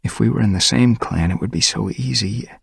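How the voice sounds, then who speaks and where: A man's voice: fairly low pitch, brisk, gravelly, breathy edge, no room sound, studio quality, pitched above the performer's own voice, heavily acted. A man voicing a young male warrior cat in a storybook, sounding earnest but evasive.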